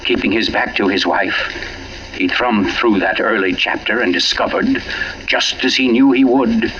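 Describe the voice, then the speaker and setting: An actor playing a narrator telling an eerie mystery in dramatic, measured 1940s radio style. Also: male voice, fairly low pitch, pushed and bright, husky. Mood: ominous. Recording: muffled vintage 1940s radio recording. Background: prominent dramatic music.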